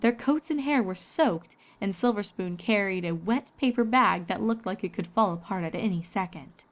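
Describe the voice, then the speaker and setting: A woman's voice: mid-range voice, lively intonation, moderate pace. A woman reading scripted story narration as a storyteller and voice actor.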